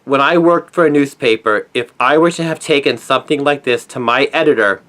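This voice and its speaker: A middle-aged man delivering a scripted opinion monologue in an earnest, emphatic manner with deliberate phrasing. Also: medium pitch, fairly bright tone. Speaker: conspiracy commentator, former newspaper journalist.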